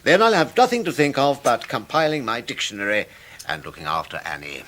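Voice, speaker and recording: A genial old schoolmaster acted by a man with a crisp, forward edge and lively rise and fall. He sounds leisurely, in period radio-drama style. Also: mid-range voice, brisk, natural voice. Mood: genial, contented.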